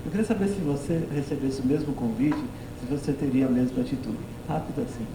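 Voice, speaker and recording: A man from the audience asks a question in a mid-range voice, pausing to find his words. The room is echoey and there is clear noise in the background. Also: mid-range voice. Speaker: audience member asking a question.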